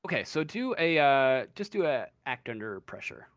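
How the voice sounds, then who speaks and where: A game master narrating a tabletop roleplaying game in a casual, halting, conversational way. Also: mid-range voice, very animated, no room sound, studio quality.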